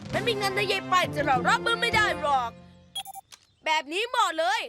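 A woman's voice: very high voice, animated, normal speed. A woman voicing a confident, reassuring young boy who leads a rescue team in a children's cartoon dub. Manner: reassuring, clear instructions. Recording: loud cartoon music behind voice.

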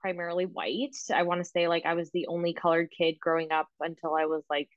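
A young woman speaking over a laptop microphone from a small room at home, her voice sitting a little low and slightly muffled. She talks in a loose, animated way, full of filler words, as a guest in a casual interview. The sound is close and dry. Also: quick pace, no echo. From Canadian prairies. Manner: animated, gesturing, many filler "like"s. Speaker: registered dietitian guest. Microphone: laptop microphone on video call.

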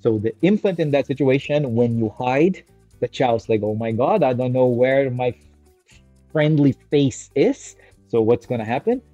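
A man in his thirties from Brazil, a native Portuguese speaker, teaching casually to a webcam from a small room. His voice is mellow and warm, the sound is dry and slightly dull, and faint music sits underneath. He talks with energy, checks in with "right?" and stretches out each new list heading. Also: medium pitch, lively intonation, unhurried, no echo. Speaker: educator presenting on infant care. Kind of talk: casual educational talk to camera. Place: small indoor room with a screen on the wall.

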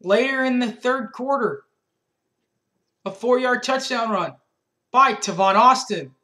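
A man's voice: extremely high pitch, unhurried, pushed and bright. A young man recapping a college football game from his bedroom, reading scores and stats methodically with restarts, recorded on a webcam. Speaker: sports fan reviewer. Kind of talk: postgame recap. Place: small bedroom indoors. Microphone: webcam at desk.

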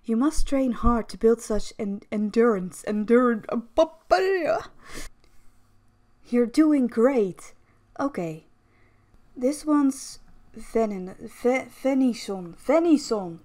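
A woman with a slightly high voice talks casually during recording outtakes. She is an amateur voice actress, playful and chatty in the way she speaks. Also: lively intonation, slow pace, a little mellow.

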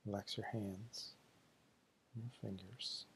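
A man leading a guided meditation in slow, deliberate, soothing short phrases, with a husky, gravelly voice. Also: on the low side, steady tone, fairly bright tone, a little hiss or hum, poor audio.

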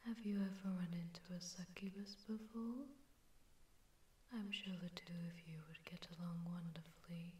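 A woman voicing a haughty, seductive sleep paralysis demon in a breathy, teasing whisper with an echoing distortion effect, for an ASMR audio roleplay. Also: lowish voice, noisy background. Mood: flirtatious, intrigued. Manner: teasing, slow, flirtatious, condescending.

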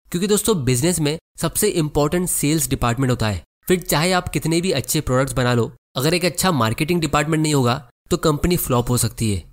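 Higher-voiced man narrating an explainer. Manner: addresses viewers as friends, reads checklist questions slowly. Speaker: finance educator.

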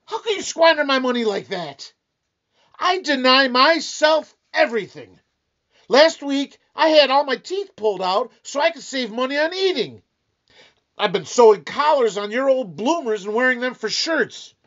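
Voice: high-pitched, sing-song, normal speed, a bit bright. An actor playing a weary, sarcastic, penny-pinching husband in an old-time radio comedy, grumbling and blustering wryly. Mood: outraged. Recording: dull, lo-fi band-limited sound.

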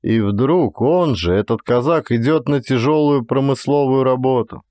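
A man reading a literary story as an audiobook, with an actor's expressive, measured storytelling delivery. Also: medium pitch, no room sound, clean studio sound. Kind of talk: dramatic literary audiobook narration.

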